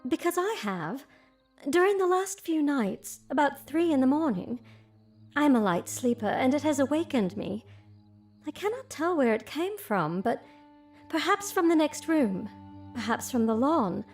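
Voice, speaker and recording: An actress playing an uneasy young bride-to-be in an audio drama, speaking in a formal, theatrical way. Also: female voice, sits high, big pitch swings, measured pace, faint music behind, heavily acted. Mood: uneasy, wondering.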